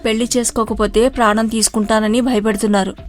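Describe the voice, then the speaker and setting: A woman voicing a frightened, distressed young village woman who speaks in a plaintive, despairing way, in an animated story. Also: a little high, quick pace, soft background music, lightly acted.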